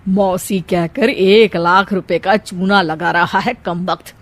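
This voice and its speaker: A woman's voice: lowish voice, steady tone, takes it slowly. A woman voicing a fretful, sarcastic older village mother-in-law in a cartoon story, grumbling and exclamatory. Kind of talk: cartoon moral-story acting.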